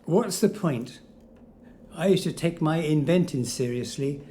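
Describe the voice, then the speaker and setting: A man's voice: on the high side, measured pace, mellow, natural voice. A man playing a flippant, rueful ghost of a failed inventor, joking dryly in an audio drama. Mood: resigned. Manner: dry, joking, resigned. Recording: faint noise behind.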